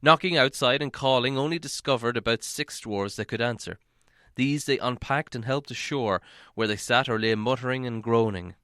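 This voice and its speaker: A male audiobook narrator reads a fantasy story in a medium-pitched voice. His delivery is dramatic and measured storytelling, captured in a very dry recording with little room sound. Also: fairly bright tone, clean tone, no echo.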